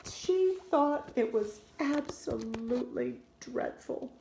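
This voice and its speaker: A woman reading a children's storybook aloud with expressive, deliberate phrasing. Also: high-pitched, vocal fry, background noise.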